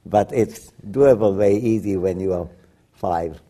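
Low, husky older man, warm and musing. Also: a little slow. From Bangladesh. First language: Bengali. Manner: rambling with frequent restarts and soft laughs. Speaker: guest. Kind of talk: reflective conversation.

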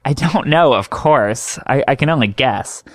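A man's voice: mid-range voice, lively intonation, unhurried, no room sound, pitched above the performer's own voice, close to an everyday voice. A man doing an earnest young dreamer, reasoning. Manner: thoughtful, reasoning.

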